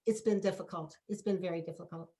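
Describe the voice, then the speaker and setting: A woman's voice: lowish voice, fairly even intonation, fast, mellow. A woman of seventy-nine speaking in a heartfelt, conversational way in an interview.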